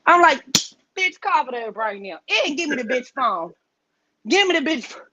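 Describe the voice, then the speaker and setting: A woman guest on a podcast, telling a story in a casual, profane, animated way and acting out the voices she quotes. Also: sits high, normal speed, dull audio.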